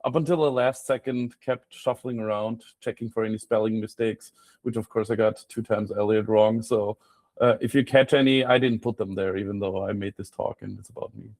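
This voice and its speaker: A man's voice: fairly low pitch, steady tone, a little fast, darkish tone, dry recording. A man with an Austrian accent giving an informal slide talk in a wry, self-deprecating way. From Graz, Austria. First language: German. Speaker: guest speaker, freelance copywriter.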